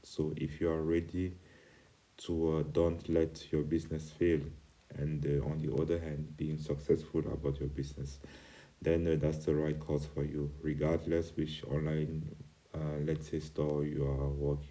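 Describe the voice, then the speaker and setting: A man from Cameroon with an extremely deep voice teaches an informal online lesson. He speaks as a non-native speaker, in halting, hesitant phrases. His intonation stays fairly flat and his tone is very dark and mellow. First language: not English. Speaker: company CEO, online course instructor.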